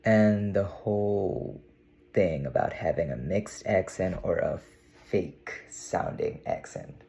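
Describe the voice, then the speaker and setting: A man's voice: low voice, animated, slow pace, vocal fry, mellow. A young man from India, speaking with a put-on American accent in a casual, meandering vlog monologue to camera. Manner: musing, meandering, with frequent "you know". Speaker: vlogger. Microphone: camera mic, talking to camera.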